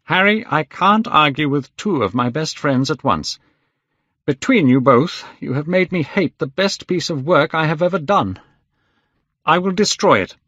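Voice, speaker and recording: A man performs a sensitive painter from a storybook, his voice lifted high and full of feeling. He is earnest and pleading, with animated intonation on a dry recording. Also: moderate pace, hardly any pauses, dry recording, lo-fi sound, exaggerated voice. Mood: angry, hurt. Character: sensitive, earnest, easily wounded male painter.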